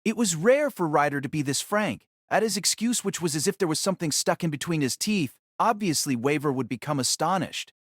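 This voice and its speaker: A man's voice: a little high, lively intonation, brisk, few pauses, no echo, studio quality. A man reading scripted, dramatic narration of a fantasy story as its narrator.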